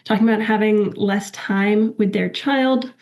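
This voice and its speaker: A woman's voice: mid-range voice, steady tone, a little mellow. Woman walking through study results, slightly crackly from a cold, lots of ums. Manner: measured, with frequent fillers and restarts. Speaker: researcher presenting evaluation results. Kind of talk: webinar presentation.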